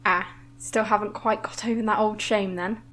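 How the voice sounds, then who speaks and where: A woman's voice: medium pitch, steady tone, moderate pace, fairly bright tone, a little hiss or hum, close to an everyday voice. A woman playing an affable, businesslike colony administrator in a science-fiction audio drama. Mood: genial, teasing.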